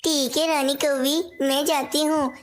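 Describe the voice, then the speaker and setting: A woman's voice: sits high, level tone, measured pace, slight background noise. A woman voicing a naive, dutiful female songbird in a cartoon, earnest and polite. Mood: trusting. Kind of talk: cartoon moral-story dialogue.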